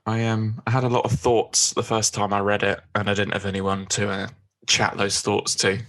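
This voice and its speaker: Flat-toned co-host. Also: male voice, fairly low pitch, normal speed. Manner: relaxed, chatty, with restarts. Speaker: podcast co-host. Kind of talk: casual podcast chat.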